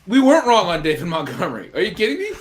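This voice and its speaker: Excitable man with a lively, higher voice. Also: rapid-fire. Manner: excitable, insistent, laughs through his points. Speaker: fantasy football podcast co-host. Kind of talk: casual sports chat.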